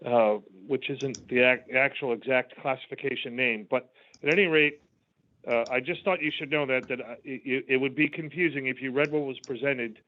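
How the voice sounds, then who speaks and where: A union business manager calling in, speaking politely and hesitantly with many fillers. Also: male voice, mid-range voice, steady tone, moderate pace. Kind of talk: public comment by phone. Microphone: phone line. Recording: tinny phone line.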